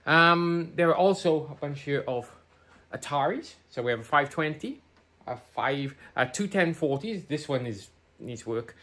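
A woman's voice: sits low, expressive, a little slow, a bit bright. A middle-aged woman giving a jokey, self-deprecating tour of her computer room, filmed on a phone. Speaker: viewer video contributor. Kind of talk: casual home tour video. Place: room full of old computers, indoors. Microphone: phone held at arm's length.

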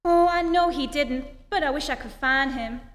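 Actress as overdramatic society mother, high voice, gushing, radio play, reverberant hall. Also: moderate pace, faint music behind, natural voice. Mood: pleading. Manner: effusive, theatrical. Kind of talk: old-time radio play re-enactment.

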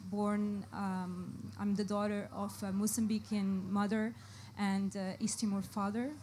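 A woman's voice: medium pitch, little pitch movement, unhurried, mellow, slight background noise. A woman from Portugal with a Portuguese accent, speaking on a live design panel, hesitant with many fillers as she searches for words. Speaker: panelist, brand art director. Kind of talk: panel discussion. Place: live panel event before an audience.

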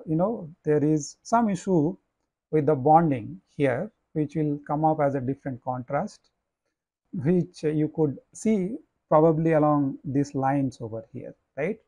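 A male professor talks through his slides in a slightly high voice, measured and deliberate, with many "uh" fillers. The sound is close and dry, with no room around it. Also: dark tone, no room sound, rough recording. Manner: measured explaining with frequent "uh" and "you know". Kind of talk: slide lecture.

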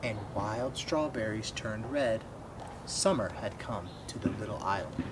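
A man's voice: fairly low pitch, a little slow. Young man reading aloud, noisy outdoor recording. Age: young adult. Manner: expressive, asks the listener questions. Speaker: storybook reader. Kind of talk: children's read-aloud with asides to young listeners. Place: outdoors by a wooden fence. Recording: clearly noisy outdoor ambience.

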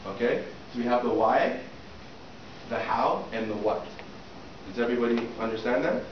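A man's voice: mid-range voice, moderate pace. A middle-aged man teaching a job interview workshop to a small class, often checking in with "okay?" and questions to the room. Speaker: soft skills trainer. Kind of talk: interview-prep workshop talk. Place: small classroom with a projector screen, indoors. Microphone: far camera at the back of the room. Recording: distant, echoey, muffled room recording with noise.

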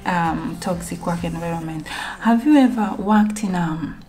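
A woman's voice: on the low side, measured pace, mellow, faint music behind. A young woman from Kenya talking alone to her camera at home in a reflective, rambling sit-down vlog, full of fillers and restarts. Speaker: vlogger.

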